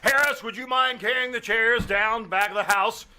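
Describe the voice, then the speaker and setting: A man's voice: extremely high pitch, steady tone, moderate pace, bright, forceful tone, clear, resonant tone, full character voice, poor audio. A man playing a pompous, easily enraged boss in a live re-enacted 1940s radio comedy, formal, fussy and sarcastic. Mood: exasperated. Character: pompous, exasperated male boss, courtly to ladies, easily enraged.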